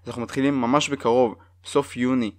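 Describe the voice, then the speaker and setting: A man's voice: medium pitch, flat delivery, very fast, no room sound. A man from Israel, a podcast host giving a promotional introduction.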